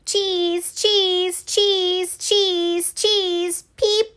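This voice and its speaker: A woman puts on the voice of tiny, playful puff creatures from a picture book. It is squeaky, high and bright. The cute chirps come as single repeated words. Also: level tone, extremely slow, heavily acted. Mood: gleeful.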